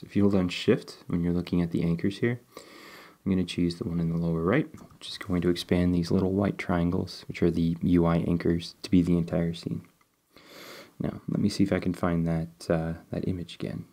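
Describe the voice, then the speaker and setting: Low, gravelly man, a bit sniffly. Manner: relaxed, step-by-step, thinking aloud with fillers. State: sniffly, getting over a cold. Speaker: game development tutorial host. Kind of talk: screen-recorded coding tutorial.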